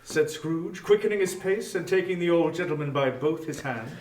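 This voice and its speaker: Male storybook narrator, high voice, expressive and measured, heard a little away from the mic. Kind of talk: scripted dramatic storybook narration. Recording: echoey room, distant from microphone.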